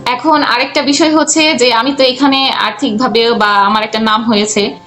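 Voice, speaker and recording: Female interviewee, high register, halting conversational delivery, soft music bed underneath. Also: fairly even intonation. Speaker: guest, freelance cartoon voice artist and animator.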